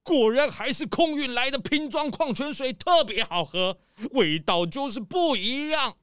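An actor playing a pompous, gluttonous rich male crocodile boss in a children's audio drama, showy, with drawn-out exclamations and sighs. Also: extremely high pitch, lively intonation, a bit bright, clean tone, dry recording, clean studio sound, heavily acted. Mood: pompous, boastful.

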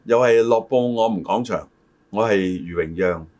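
A man's voice: on the low side, moderate pace, a little mellow. Older man hosting a talk show. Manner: explanatory, often interjects to correct his partner. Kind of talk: casual reminiscing conversation.

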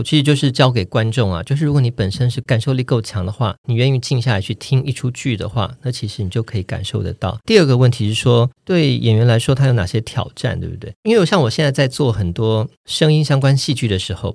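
A man speaks at a quick clip in a close, dry recording. His Taiwanese Mandarin is clearly articulated, and he explains things reflectively, as a guest in a conversational interview. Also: mid-range voice, darkish tone, no echo. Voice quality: clearly enunciated, standard pronunciation. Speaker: voice actor, podcast guest.

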